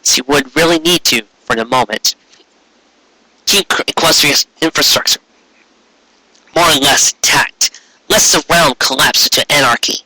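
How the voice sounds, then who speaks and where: A man narrating a story in an expressive, dramatic storybook style, with the odd aside. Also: fairly high pitch, unhurried, long pauses, no room sound, rough recording. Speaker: fan fiction reader.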